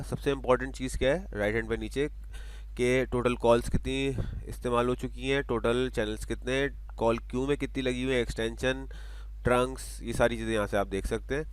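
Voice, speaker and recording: Man, tutorial presenter, fairly low voice, casual step-by-step narration, mixes in English tech terms. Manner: code-switches into English technical terms. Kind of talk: casual software tutorial narration, talking through steps. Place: voice-over for screen recording.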